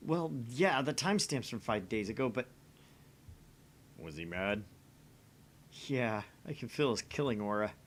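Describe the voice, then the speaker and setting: A man's voice: medium pitch, lively intonation, unhurried, long pauses, fairly bright tone, slight background noise. A man voicing a theatrical, grumbling young mad scientist, sardonic and dramatic, in a visual novel read-through.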